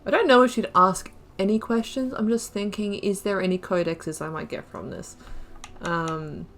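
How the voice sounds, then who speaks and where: A woman's voice: medium pitch, steady tone, normal speed, fairly bright tone, a little hiss or hum. A woman giving rambling gameplay commentary, thinking aloud with frequent fillers. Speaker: gaming YouTuber.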